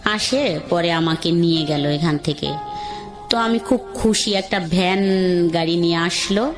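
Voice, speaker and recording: An adult woman, calling in to tell a radio host her life story in a halting, reflective way with frequent restarts. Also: on the low side. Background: soft background music.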